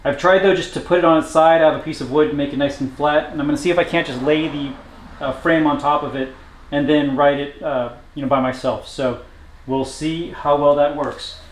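A man working on a motorcycle in his home garage, talking through the job in a relaxed, matter-of-fact way with frequent pauses. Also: a little high. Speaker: motorcycle builder vlogger. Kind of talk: casual workshop narration. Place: hot home garage with a fan running. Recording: echoey garage, faint fan noise. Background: fan noise.

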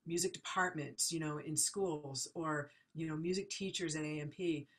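A woman's voice: low voice, fairly even intonation, moderate pace, husky. A woman music educator speaking on a video call panel, thoughtful and hesitant, with many fillers and restarts. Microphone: video call laptop mic.

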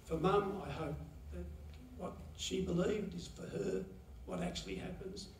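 A man gives a halting, pause-filled eulogy for his mother at a chapel lectern. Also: high voice, big pitch swings, slight background noise. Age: 70s. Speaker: son giving a eulogy. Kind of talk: memorial eulogy. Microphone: lectern mic, heard from a far camera. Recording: roomy chapel echo from far off.

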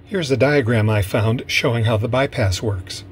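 An older man calmly narrating a do-it-yourself build video in a measured, matter-of-fact way. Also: mid-range voice, a little hiss or hum. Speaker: vlogger. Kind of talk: calm how-to narration.

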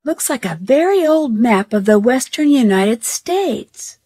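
A woman playing an upbeat, curious young female detective in a video game, youthful, perky and earnest, with clear diction. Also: a little high, expressive, unhurried, warm tone, dry recording, studio quality. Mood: musing, matter-of-fact. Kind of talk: scripted video game voice acting, thinking aloud.

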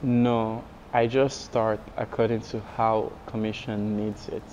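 A man voicing interview answers as an interpreter, in a measured read-out style. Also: lowish voice, flat delivery, a little mellow. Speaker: interpreter voicing a deaf artist's signed answers. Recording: dull, noisy recording.

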